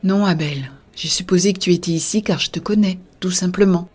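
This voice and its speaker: A woman playing an earnest, idealistic young-hearted believer in a scripted science-fiction audio drama, with a husky, soft delivery. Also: on the low side, fairly quick. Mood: hushed, pensive. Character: Béa, earnest idealistic woman defending a shared-consciousness network. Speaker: voice actor narrating in first person.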